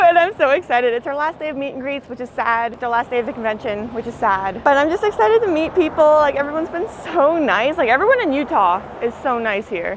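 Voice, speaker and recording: A woman's voice: on the high side, a little hiss or hum. A woman in her twenties chatting informally to a handheld camera, self-deprecating and giggly, in casual vlog style. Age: 20s. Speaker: vlogger. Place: hotel room, street, convention hall. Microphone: handheld camera at arm's length.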